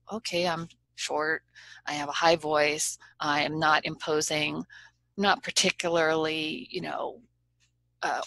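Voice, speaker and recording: Gravelly-voiced woman lawyer, earnest and frank. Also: deep voice, fairly bright tone. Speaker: trial lawyer, guest. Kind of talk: conversational interview.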